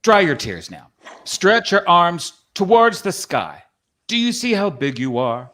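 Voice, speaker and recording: A man's voice: high voice, big pitch swings, measured pace, fairly bright tone, exaggerated voice. A man playing a folksy, tender, caring cowboy-like companion in a dramatic play reading. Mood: tender, encouraging.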